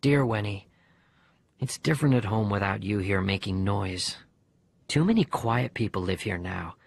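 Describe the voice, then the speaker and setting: A man voicing a bereaved young boy who narrates his diary letters in an expressive, conversational audiobook reading. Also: lowish voice, normal speed, vocal fry, slightly rough, dry recording, pitched below the performer's own voice, full character voice. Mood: wistful.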